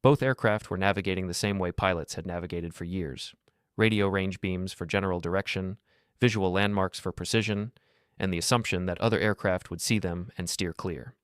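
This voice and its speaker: A man reading scripted documentary narration in a measured, grave manner. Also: deep voice, fairly even intonation, clean tone, dry recording, clean studio sound.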